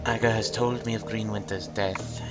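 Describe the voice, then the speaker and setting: A man with a stuffed-up nose voicing a grave, scholarly older man who speaks in a measured way, with game music behind. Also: lowish voice, fairly even intonation, natural voice. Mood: grave, thoughtful.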